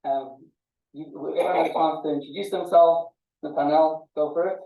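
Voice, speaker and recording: A man teaching a small study group informally, often halting and repeating words as he explains. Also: on the high side, steady tone, dark tone, recorded from a distance. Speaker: class teacher. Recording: muffled phone-like audio, echoey, off-mic.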